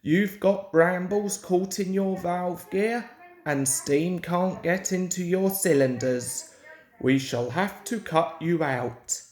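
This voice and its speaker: A reader as a low-voiced, doubtful railwayman. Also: slow, a little mellow, reverberant. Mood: grave. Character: doubtful, practical railwayman on a steam engine's crew.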